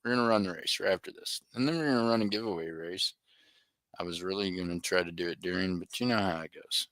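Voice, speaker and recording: A man's voice: low-pitched, quick pace, fairly bright tone, no echo. A middle-aged man hosting a live auction stream, chatting and joking with viewers by name. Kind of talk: casual livestream chat.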